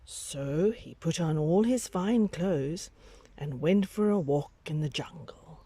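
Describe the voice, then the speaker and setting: A woman narrates a picture book for young children. Her delivery is slow and deliberate, with very lively intonation and a slightly breathy, husky edge to her dramatic phrasing. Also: low voice, no room sound. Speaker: storyteller reading aloud to young children.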